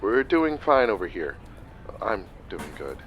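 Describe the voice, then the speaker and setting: A man's voice: fairly low pitch, big pitch swings, measured pace, a bit bright, slight background noise. A man playing a breezy, evasive middle-aged dad in a naturalistic play. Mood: breezy, distracted. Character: breezy, evasive busy father of a grown daughter. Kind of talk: naturalistic stage acting in a livestreamed play.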